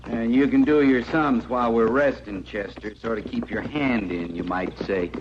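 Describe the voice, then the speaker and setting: An actor playing a sardonic, complaining captive outlaw with an American accent and a menacing edge in a radio western. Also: male voice, mid-range voice, moderate pace, natural voice. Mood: mocking. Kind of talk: old-time radio western acting. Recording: muffled, noisy old radio recording.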